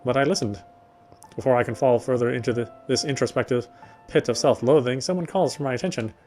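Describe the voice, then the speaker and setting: A man reads a visual novel script aloud in a mid-pitched voice. He delivers it as story narration, with soft music playing underneath. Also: fast. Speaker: let's player reading a visual novel aloud.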